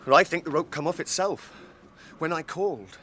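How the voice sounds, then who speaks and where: A man's voice: fairly high pitch, normal speed, fairly bright tone, slight background noise, close to an everyday voice. A man acting a loyal, plain-spoken, fretful hobbit servant in a radio drama, with a blunt manner. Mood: stubborn. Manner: rustic, blunt, self-scolding.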